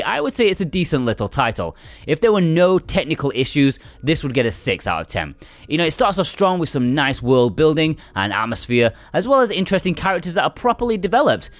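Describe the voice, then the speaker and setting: A man narrating a scripted video game review in a conversational, emphatic way. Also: mid-range voice.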